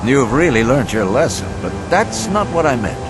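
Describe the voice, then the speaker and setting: A man's voice: medium pitch, very animated, normal speed, noisy background, natural voice. A man playing a sly, well-informed ally in a video game, with a knowing, teasing manner. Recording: music behind the voice.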